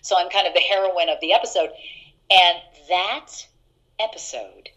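A middle-aged actress telling stories in an interview, chatty and laughing, with many fillers and restarts. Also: female voice, normal speed, bright, forceful tone, dull audio. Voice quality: deeper voice. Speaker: actress guest. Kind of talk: casual interview anecdotes.

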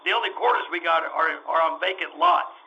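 A man's voice: fairly high pitch, fairly quick, vocal fry, dull audio, slight background noise. A man playing a nervous, folksy, evasive but chatty small-time carnival man in old-time radio drama style, stammering and repeating words. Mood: sheepish, evasive.

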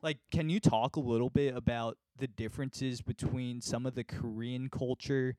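A man's voice: medium pitch, fairly even intonation, moderate pace, darkish tone, no echo. A young man hosting a casual interview asks long, meandering questions packed with "like.". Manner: rambling questions, filled with "like".

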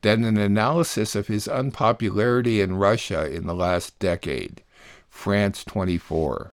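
A man's voice: fairly low pitch, normal speed, no echo, studio quality. A man hosting a radio show, reading scripted announcements between news reports.

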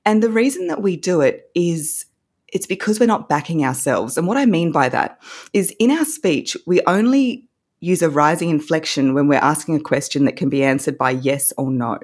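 An Australian woman talks through her advice in an easy, animated way, laughing now and then. Her voice sits low and a touch husky. She sounds like a voice coach chatting on a call. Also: moderate pace. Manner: warm animated explainer, laughs often. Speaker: voice coach guest. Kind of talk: conversational interview.

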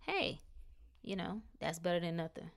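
A woman's voice: on the low side, sing-song, moderate pace, creaky. A woman talking casually to her viewers about cleansing the body. She stresses her points and repeats phrases for emphasis, with chatty asides. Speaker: health and detox vlogger. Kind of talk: casual talk to camera.

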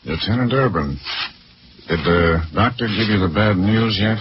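A man playing a gruff, blunt but fond police lieutenant in 1940s radio acting style. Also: on the low side, lively intonation, moderate pace, natural voice. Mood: grave. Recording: muffled noisy 1940s radio broadcast.

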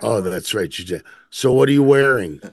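A man playing a smitten, pervy sex-line caller in a comedy skit, flirting in a slow, drawn-out way. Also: lowish voice, expressive, voice pushed down. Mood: flirty, eager.

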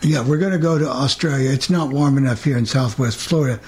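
A man's voice: mid-range voice, fast, darkish tone. A man hosting a live radio panel show in a casual, conversational way. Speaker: host of a radio hobbyist show.

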